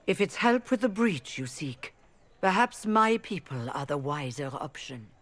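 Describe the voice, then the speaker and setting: A woman's voice: sits low, sing-song, unhurried, heavily acted. A woman playing a proud, diplomatic elven leader of rebel mages in a video game, speaking formally. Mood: courteous, composed.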